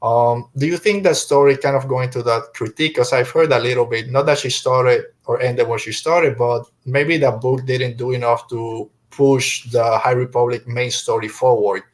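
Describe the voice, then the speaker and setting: A man's voice: mid-range voice, steady tone, normal speed, hardly any pauses. A man hosting a podcast in a casual, conversational way, talking things through and putting questions to guests. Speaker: podcast host. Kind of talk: casual podcast book discussion.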